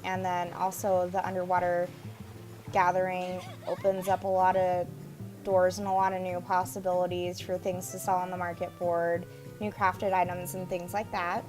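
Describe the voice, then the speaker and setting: A woman with a raspy, sore throat from allergies, chatting casually in a home voice-over about a video game. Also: on the low side, monotone, normal speed. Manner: chatty, easygoing, a bit quiet. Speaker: gaming YouTuber. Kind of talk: casual gameplay voice-over commentary. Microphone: home voice-over mic. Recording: voice-over with game music behind.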